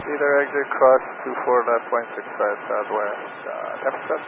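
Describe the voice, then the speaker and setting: A man's voice: mid-range voice, moderate pace, gravelly. A man working as a pilot, giving terse readbacks over aviation radio. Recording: narrow noisy aviation radio transmission.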